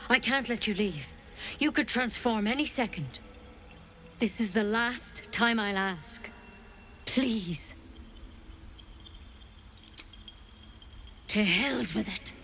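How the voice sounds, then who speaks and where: A woman's voice: a little high, animated, slow, a bit bright, faint music behind, exaggerated voice. A woman playing a stern, worried healer in a video game, speaking in a grave and insistent manner. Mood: tense. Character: stern, worried female healer druid's apprentice. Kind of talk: video game dialogue acting.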